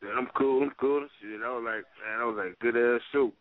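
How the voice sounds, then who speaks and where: An American man, a guest on a radio show, chatting casually in a low-key, hesitant way. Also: a little fast. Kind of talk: casual chat. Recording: muffled phone line.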